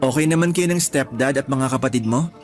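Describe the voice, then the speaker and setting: A man's voice: medium pitch, a little fast, lightly acted. A man with a Filipino accent playing a smitten, curious and slightly awkward man in his late twenties in a radio drama. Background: music.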